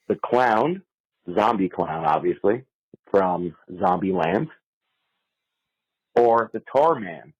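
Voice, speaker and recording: A man leaving a casual phone voicemail as a listener, his voice a little rough. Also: lowish voice, a little mellow, highs cut off.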